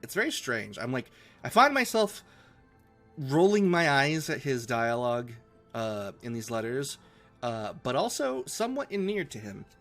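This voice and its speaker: Casual male book-club host, medium pitch, thinking aloud in slangy stops and starts, faint music underneath. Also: big pitch swings, a bit bright. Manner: informal, slangy, halting while thinking aloud. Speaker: host of live classic novel readings. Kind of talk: casual book discussion between chapters.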